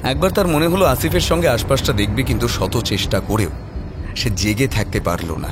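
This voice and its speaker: A man's voice: mid-range voice, lively intonation, moderate pace, background noise. A man narrating a scripted horror story in a dramatic storytelling style. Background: prominent background music.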